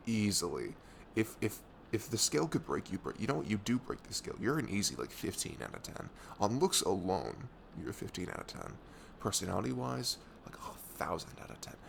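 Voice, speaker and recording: A young man acting out a protective, tender best friend in an ASMR roleplay, with a low voice and some fry at the edges. He speaks haltingly and earnestly, close and soothing, with pitch that moves around a lot. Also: slight background noise. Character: caring, protective young male best friend comforting someone. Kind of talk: ASMR audio roleplay, intimate casual chat.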